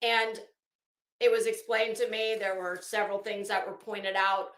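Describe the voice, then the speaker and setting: A woman's voice: mid-range voice, level tone, moderate pace, fairly bright tone. A woman giving casual, opinionated, rambling commentary as an online host, gossipy and emphatic, stretching out the words she stresses. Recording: roomy, echoing room sound.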